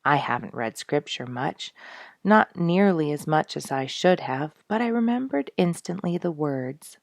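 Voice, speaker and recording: A woman's voice: low-pitched, gravelly, darkish tone, no echo, clean studio sound, full character voice. A woman reading aloud as a humble, reflective male soldier, earnest and full of wonder, in a storybook letter. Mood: reverent reflection.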